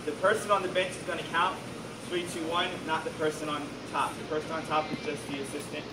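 A man's voice: on the high side, level tone, fast talker. A man coaching a group through lifts in a large indoor gym, giving firm step-by-step cues. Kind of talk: instructing a workout. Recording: echoey gym from far off, loud air-conditioning hum.

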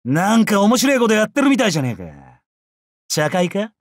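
Brash, swinging-pitched samurai brute. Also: male voice, big pitch swings, brisk, no echo, studio quality. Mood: casual, cocky. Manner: rough, swaggering delinquent-like speech. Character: brash, bloodthirsty, boisterous young male samurai warrior. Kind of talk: Japanese mobile game character voice lines.

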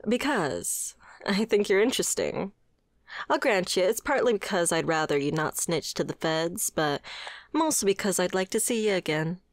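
Mafia boss played by a woman: wry, principled, flirtatious, lower female register, very dry close sound, ASMR roleplay. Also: expressive, moderate pace, no echo. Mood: reassuring, flirtatious. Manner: sardonic, conversational, swearing. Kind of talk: scripted ASMR roleplay acting.

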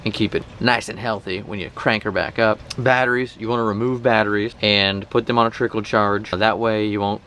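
A man in his thirties hosting a vlog, chatting informally to camera in a casual, animated explainer style outdoors. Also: on the low side, a little fast, hardly any pauses. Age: 30s. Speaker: boating vlog host. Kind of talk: casual sponsored talk to camera. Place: outdoors in a boat cockpit at a marina. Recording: faint outdoor marina background noise.

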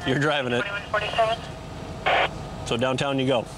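A male police officer talking plainly and barking slow loud commands at a night traffic stop. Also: medium pitch, little pitch movement. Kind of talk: field commands and casual police talk. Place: outdoors at night on a roadside. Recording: noisy outdoor field audio.

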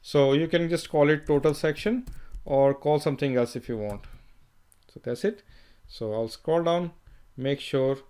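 A man talking through a screen-recorded software tutorial, thinking aloud as he works, with fillers and restarts. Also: fairly high pitch, measured pace, smooth. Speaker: software trainer.

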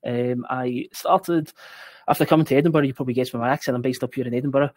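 A man in his forties speaks as a webinar guest over a headset. He stumbles and repeats words often and fills pauses with ums. His voice is a little husky and sits dry and close to the mic. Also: medium pitch, steady tone, a little mellow, no room sound. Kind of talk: casual webinar chat. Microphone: headset on video call.

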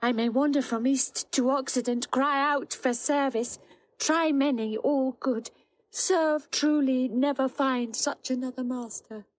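A woman's voice: high voice, steady tone, measured pace, no echo, low-quality recording. An actress playing a grieving young woman disguised as a faithful page boy in a Shakespearean audio drama, speaking verse in a plaintive, earnest way. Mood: sorrowful.

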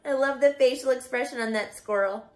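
A woman in her thirties doing an animated read-aloud for children, expressively putting questions to the listener. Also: high-pitched, brisk, reverberant. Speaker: teacher reading picture books aloud. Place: bright room at home by windows.

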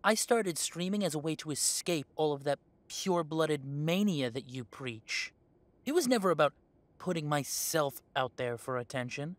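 A man's voice: on the high side, expressive, normal speed, dry recording, lightly acted. A man playing a young adult werewolf in an audio drama, an estranged heir who talks with sarcasm and indignation. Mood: defiant. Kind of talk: audio roleplay drama acting.

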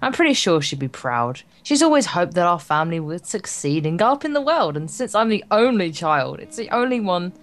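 A woman's voice: lowish voice, very animated, a little fast, hardly any pauses. A woman co-hosting a let's play, reading game text aloud with casual, playful banter. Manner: playful, sweary, stumbles when reading.

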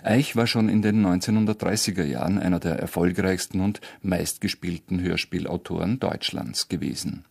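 Guy narrating as radio presenter, very low husky voice, reading a script. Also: moderate pace, few pauses, darkish tone.

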